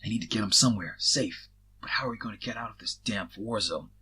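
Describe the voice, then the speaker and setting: A man's voice: fairly low pitch, quick pace, sharp tone, husky, exaggerated voice. A man voicing an earnest, anxious and awkward young male mage in a fantasy story reading. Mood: worried.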